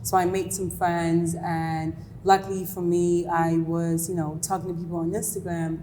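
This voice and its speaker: A young woman from Ghana, a filmmaker talking casually as an interview guest, animated with lots of fillers. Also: lowish voice, normal speed, slight background noise. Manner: animated, chatty, many fillers. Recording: long reverb, faint music.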